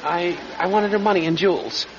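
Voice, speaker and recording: A man's voice: high voice, brisk, a crowd in the background, natural voice. An actor in an old radio crime drama playing a timid, eccentric man who is eager to have his confession believed, speaking hesitantly and earnestly. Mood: meek, insistent. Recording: dull old radio recording.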